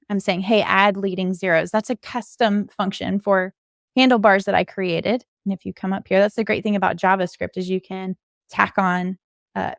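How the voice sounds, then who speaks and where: A woman's voice: medium pitch, gravelly, no echo, studio quality. A woman giving an informal screen-share coding walkthrough, explaining things step by step as a podcast co-host.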